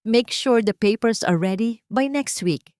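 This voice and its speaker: A woman's voice: fairly high pitch, measured pace, darkish tone, dry recording, studio quality, pitched above the performer's own voice, close to an everyday voice. A woman with a Filipino accent voicing a greedy, imperious rich woman in a narrated story, commanding and impatient. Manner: commanding, code-switching.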